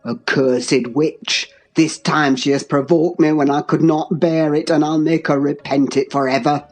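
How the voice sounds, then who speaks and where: A woman's voice: low-pitched, slow, a little mellow, slightly rough, soft background music, pitched below the performer's own voice, exaggerated voice. A woman voicing a furious, domineering older man with a harsh, commanding manner in an audiobook. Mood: savage. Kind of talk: dramatized audiobook reading.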